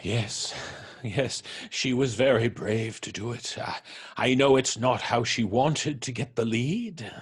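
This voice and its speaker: A man playing a troubled theatre actor in an audio horror drama, speaking haltingly with dramatic pauses. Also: medium pitch, breathy edge. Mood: grieving, haunted. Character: troubled theatre actor, haunted by a past tragedy.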